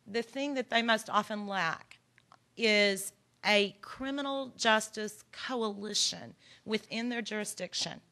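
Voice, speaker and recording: Bright-voiced Texan woman presenting. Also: mid-range voice, takes it slowly. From Texas. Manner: earnest, encouraging, folksy with "y'all". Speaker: workshop presenter. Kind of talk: conference presentation. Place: conference room.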